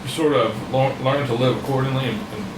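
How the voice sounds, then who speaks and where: A man's voice: mid-range voice, fairly even intonation, fast. A man in his forties talking casually and bluntly as an interviewer, informal and given to swearing. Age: 40s. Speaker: podcast host, tattoo artist. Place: indoors in a tattoo shop. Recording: roomy, noisy air-conditioned room.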